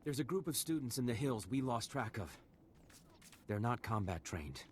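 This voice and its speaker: Game role: male actor as grave Jedi officer, urgent authority, formal measured delivery, mid-pitched, some background noise. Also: very animated, lightly acted. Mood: grave, purposeful. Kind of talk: video game voice acting.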